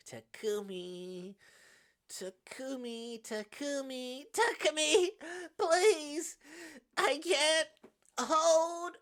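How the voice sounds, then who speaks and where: A woman's voice: rumbling low, unhurried, voice pushed down. Woman acting a tragic cursed young woman, pleading. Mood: desperate pleading. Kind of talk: dramatic visual novel read-aloud.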